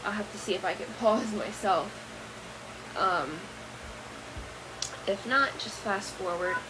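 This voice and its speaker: A woman's voice: medium pitch, takes it slowly, noisy background. A woman hosting a casual podcast, introducing a video in a loose, rambling way with frequent ums. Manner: rambling with many ums, easygoing. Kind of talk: casual chat.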